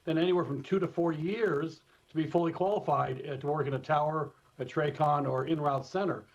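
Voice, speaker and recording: An American man speaks as an expert guest in a live interview, explaining in a measured, informal way. His voice sits somewhat high, and the remote feed sounds a little dull and lo-fi. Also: fairly even intonation, vocal fry. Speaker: expert guest, former airport communications director.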